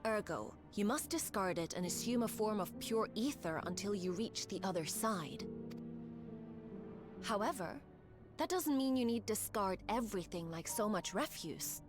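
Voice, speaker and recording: A woman's voice: medium pitch, animated, normal speed, natural voice. An actress playing a poised, teasing and ominous otherworldly woman in a video game, with formal, archaic phrasing. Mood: composed, formal. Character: poised, teasing, ominous woman who travels between worlds. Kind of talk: video game cutscene acting. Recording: orchestral game music behind voice. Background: music.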